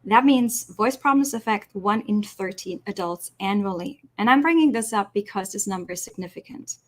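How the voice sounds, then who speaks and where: A woman voice expert guest on a podcast, explaining in a clear, instructive way. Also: medium pitch, lively intonation, moderate pace.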